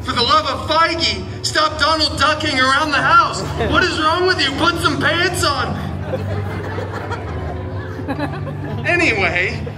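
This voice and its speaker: A man's voice: very high voice, lively intonation, normal speed, rough recording. A man playing a sarcastic, wisecracking costumed superhero telling a mock fairy tale with campy drama at a live show. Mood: sarcastic, playful. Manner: campy, sarcastic, exaggerated dramatic delivery with asides. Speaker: theme park show performer. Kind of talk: theatrical live storytelling to an audience. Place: outdoor theme park stage, filmed from the audience. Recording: loud music and crowd, outdoor live show.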